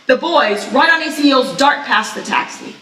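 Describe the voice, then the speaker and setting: A woman narrates a screenplay live, reading the action lines vividly. Her voice is bright and on the high side. The sound is a little distant, as from a mic across the room. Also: expressive, a little slow, reverberant, slight background noise.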